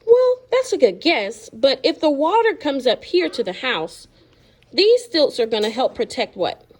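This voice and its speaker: Female teacher, lively and higher-pitched, reads a picture book aloud, quizzing children and encouraging their answers. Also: a little slow.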